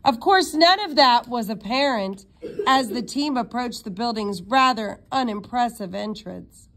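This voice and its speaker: Female read-aloud narrator, slightly high voice, expressive children's storytelling with occasional stumbles. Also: unhurried. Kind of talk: reading a children's novel aloud.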